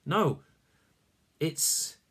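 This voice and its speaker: A man's voice: extremely slow, a bit bright. A man voicing a tiny boy king who stammers with wonder, in a storybook reading. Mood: puzzled.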